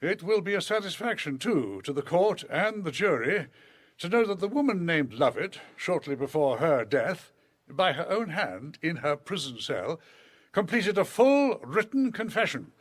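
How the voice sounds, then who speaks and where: A man plays a stern old-world judge in a radio drama. His voice sits fairly high and rises and falls dramatically, formal, grave and declamatory. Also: sing-song, moderate pace. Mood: solemn, condemning. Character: solemn, stern period judge passing sentence. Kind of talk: formal period audio drama acting.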